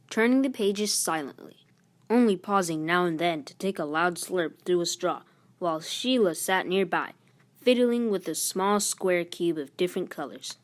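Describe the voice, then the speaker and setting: A woman with a slightly low voice reads a storybook aloud as host. Her narration is expressive.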